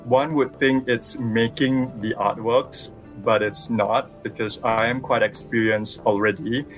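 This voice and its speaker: A man from Singapore with a Singaporean accent, a visual artist calling into a radio interview over the phone, explaining his work in a thoughtful, measured way. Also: medium pitch, fairly even intonation, soft background music, rough recording. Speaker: visual artist and sculptor guest. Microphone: phone line.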